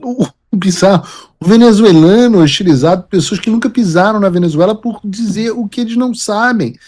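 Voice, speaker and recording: A man's voice: sits high, a little fast, darkish tone. A man from Brazil giving emphatic, lecturing political commentary as host of his show. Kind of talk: opinion commentary.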